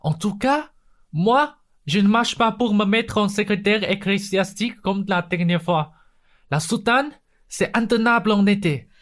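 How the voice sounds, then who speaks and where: Young lad thief played by a woman, mid-pitched, cheeky, defiant, grumbling, radio comedy. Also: a little slow, natural voice. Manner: complaining, defiant. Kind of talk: comic radio play acting in French.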